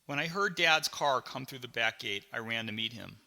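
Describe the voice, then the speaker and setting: A man reading his own memoir as a scripted audiobook, with dramatic, deliberate storytelling. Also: medium pitch, animated, creaky, pushed and bright, big-room sound.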